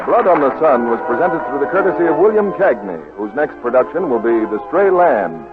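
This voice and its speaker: A man works as a 1940s radio announcer, reading formally from a script. His medium voice swings with animated intonation. Music plays behind him on a tinny old broadcast. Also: mid-range voice, brisk.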